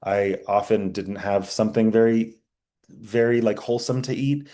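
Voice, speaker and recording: A young man teaching a class, talking earnestly with many fillers, restarts and pauses. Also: lowish voice, fairly even intonation, mellow. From United States. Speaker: journalism instructor. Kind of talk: class lecture with slides.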